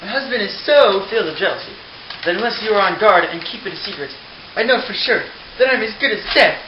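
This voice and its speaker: A man plays a scheming young wife in a puppet show, very high in pitch, dramatic and cautioning. The room echoes and there is clear noise behind. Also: lively intonation, quick pace. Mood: secretive, anxious. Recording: echoey, dull, noisy room recording.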